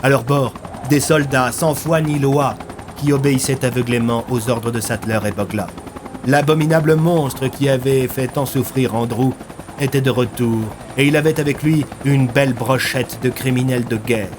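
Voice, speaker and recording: A man's voice: medium pitch, steady tone, moderate pace, noisy background. A man narrating a scripted dramatic story in a grave, theatrical way. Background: music.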